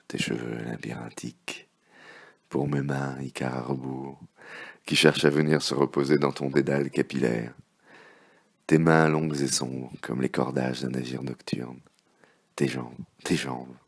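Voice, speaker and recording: A man reciting love poems in a dramatic, impassioned, theatrical manner, with a gravelly, husky voice that can drop to a hushed murmur. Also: extremely low pitch, fairly even intonation, unhurried. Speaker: poet reading poems.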